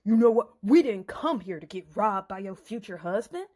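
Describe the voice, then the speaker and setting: A woman's voice: mid-range voice, lively intonation, moderate pace, warm tone, lightly acted. A woman voicing scheming Philistine men in a Bible story dramatization, scornful and threatening. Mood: menacing. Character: scheming, sneering Philistine men, menacing wedding guests.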